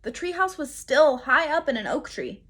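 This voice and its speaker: A woman reading a storybook as a bold, friendly, excited young girl who speaks eagerly. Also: high voice, normal speed, voice pushed up, full character voice. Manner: eager, calling out and exclaiming.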